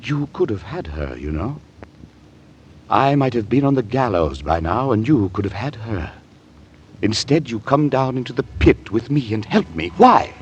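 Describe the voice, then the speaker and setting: An actor playing a self-absorbed, secretive married poet in an old radio drama, measured and evasive. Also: male voice, mid-range voice, big pitch swings, lo-fi sound, natural voice. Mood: cool, probing. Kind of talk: mid-century American radio drama acting. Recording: old radio recording, faint noise.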